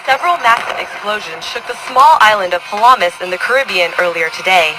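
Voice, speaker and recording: A woman playing a measured news anchor. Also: medium pitch, expressive, noisy background, natural voice. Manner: measured, formal delivery. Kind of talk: news report.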